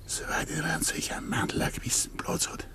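An actor playing a brooding old Bavarian farmer and father in a radio play, with a gravelly, breathy voice and a hushed, conspiratorial way of talking. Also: a little fast, in a whisper, lightly acted. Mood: hushed, grim. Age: older. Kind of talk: 1950s dialect radio play acting.

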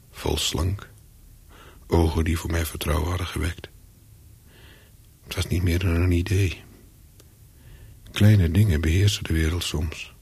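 An actor playing a wary, calculating spy on the run, narrating a radio play in terse sentences with a husky voice. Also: male voice, deep voice, darkish tone, heavily acted. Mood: brooding. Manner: terse, brooding, clipped sentences. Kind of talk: first-person radio play narration with dialogue.

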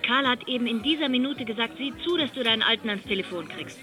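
An actress playing a chatty office worker on the phone, familiar and persuasive, in radio drama dialogue. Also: female voice, a little high, lively intonation, quick pace, music in the background, close to an everyday voice. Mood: chatty, coaxing.

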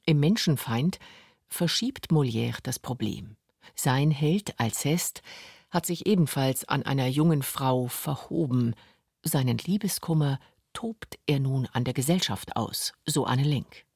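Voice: mid-range voice, expressive, unhurried, gravelly, a little mellow, husky, no room sound. A narrator reading a scripted radio documentary in a studio.

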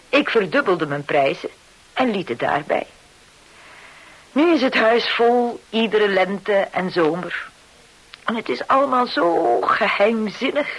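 A woman's voice: medium pitch, fairly bright tone, low-quality recording. A woman acting in a Dutch radio drama, playing a shrewd, self-satisfied hotel owner who tells her story with knowing theatricality. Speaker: actor in a radio play.

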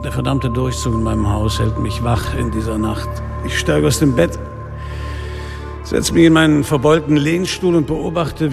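An actor playing a weary, sardonic middle-aged police inspector, narrating a noir radio drama in a dry, laconic way. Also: male voice, mid-range voice, vocal fry, close to an everyday voice. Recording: music behind the voice.

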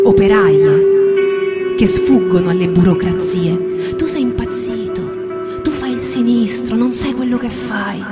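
A woman's voice: medium pitch, lightly acted. A woman acting a defiant militant factory worker in an emphatic, colloquial theatrical monologue over guitar music. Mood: resolute. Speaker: stage actor.

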